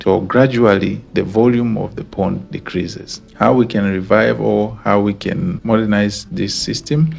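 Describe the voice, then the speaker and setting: A man from Tanzania giving an earnest, explanatory narrated slideshow lesson, often repeating points to make them clear. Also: fairly low pitch. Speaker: educator explaining water engineering.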